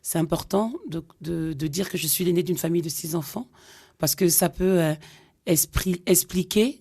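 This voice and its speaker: A woman's voice: low-pitched, mellow. A middle-aged woman from Cameroon, a singer being interviewed on radio, speaking hesitantly with many fillers. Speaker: guest singer. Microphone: studio microphone.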